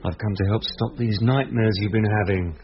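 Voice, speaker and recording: An actor playing a calm, reassuring police detective who explains things gently in a radio drama. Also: male voice, fairly low pitch, moderate pace, crowd noise, lightly acted. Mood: gentle, reassuring. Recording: slightly dull radio recording.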